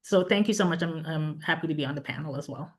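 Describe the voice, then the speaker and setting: A woman from Saint Vincent and the Grenadines introducing herself on a professional panel, pausing often with fillers. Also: low voice. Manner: hesitant, many fillers. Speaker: panelist, project manager.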